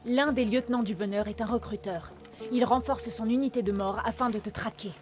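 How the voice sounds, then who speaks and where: A woman's voice: a little high, brisk, music in the background, natural voice. A woman acting a determined young huntress in a dubbed video game, earnest and urgent. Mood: serious, resolute. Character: determined young huntress worried for her stubborn father.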